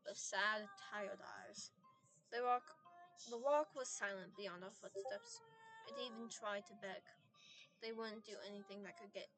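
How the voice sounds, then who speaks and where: A woman with a raspy voice reading a game's story aloud, often stumbling and restarting words, with small apologetic asides. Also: medium pitch, a bit bright, rough recording. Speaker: game narrator reading story text aloud.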